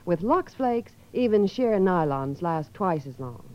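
A woman's voice: lowish voice, big pitch swings, measured pace. A woman reading a scripted 1950s radio advertisement as a commercial announcer. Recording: muffled vintage radio broadcast.

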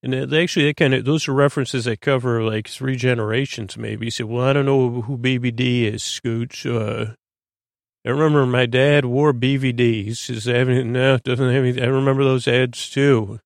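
A man with a creaky voice hosting a bedtime podcast, soothing and meandering, stammering as he drifts off into tangents. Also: mid-range voice, steady tone, moderate pace, no room sound, clean studio sound. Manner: soothing, lulling delivery. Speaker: podcast host. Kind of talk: rambling bedtime monologue.

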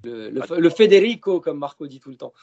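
A man's voice: on the high side, very animated, brisk, vocal fry, warm tone. A man, a podcast guest chatting casually, rambling with fillers and restarts. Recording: dull lo-fi sound.

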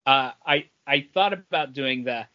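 A man's voice: medium pitch, unhurried, dull audio. A man co-hosting a podcast, giving his opinions on comic books in casual chat.

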